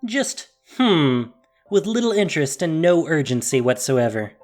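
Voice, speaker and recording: A woman's voice: deep voice, very animated, measured pace, darkish tone. A woman narrating a fantasy story in a solemn, emphatic, dramatic scripted style. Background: music.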